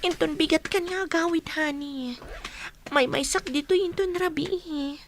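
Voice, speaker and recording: A woman's voice: high voice, normal speed, slight background noise. A woman acting in a radio drama as a scheming young mistress, pouty and gloating. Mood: sulky, coaxing.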